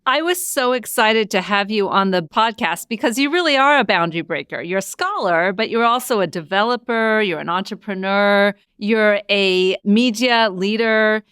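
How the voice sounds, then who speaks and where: A middle-aged woman hosting a podcast, reading a scripted intro and asking interview questions with upbeat, lively emphasis. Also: medium pitch, normal speed, hardly any pauses, smooth, dry recording, clean studio sound. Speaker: podcast host.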